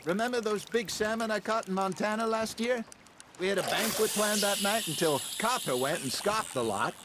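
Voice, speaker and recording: An actor playing a genial older American outlaw in a western game, with a wry, anecdotal way of talking. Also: male voice, high-pitched, moderate pace, natural voice. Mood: reminiscing. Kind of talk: cinematic western game acting. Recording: clear watery boat noise behind. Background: lapping water, boat sounds.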